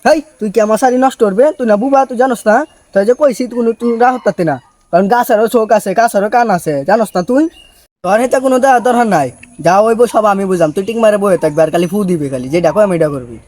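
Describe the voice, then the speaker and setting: Pushy scheming big-brother huckster played by a male actor, very high voice, rapid urgent patter, comic skit. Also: darkish tone, dry recording, natural voice. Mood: bossy, scolding. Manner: urgent, repetitive salesman patter. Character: pushy, scheming elder brother hustling a fake faith healer. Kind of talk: amateur comedy skit acting.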